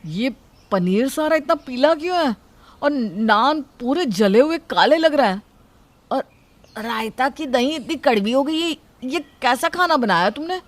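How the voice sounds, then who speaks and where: A man's voice: very high voice, animated, measured pace, dry recording. A man playing a young, food-loving husband who complains but cares, in an acted moral story. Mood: displeased.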